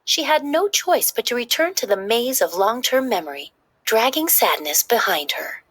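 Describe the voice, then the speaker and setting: A woman reading a children's story aloud in expressive, scripted narration. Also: a little high, moderate pace, husky, dry recording.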